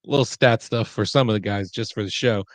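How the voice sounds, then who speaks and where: A man chatting casually as a sports show panelist, doubling back on his words in a halting way. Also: on the low side, dry recording. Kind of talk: casual chat.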